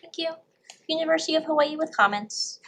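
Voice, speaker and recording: Woman, high pitch, brisk chair, procedural announcements, faint noise. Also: gravelly, a bit bright. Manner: brisk, businesslike. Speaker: committee clerk or chair calling testifiers.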